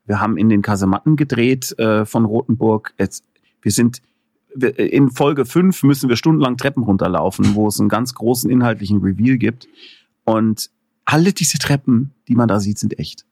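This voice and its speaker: A man's voice: fairly low pitch, moderate pace, darkish tone, slightly rough, no room sound. A man being interviewed in casual chat, telling stories animatedly with many fillers and laughs. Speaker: interview guest, filmmaker.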